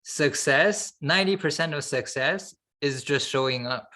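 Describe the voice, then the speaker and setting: A man co-hosting a podcast in casual, unscripted chat, halting as he thinks aloud. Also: a little high, bright, forceful tone. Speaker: podcast co-host.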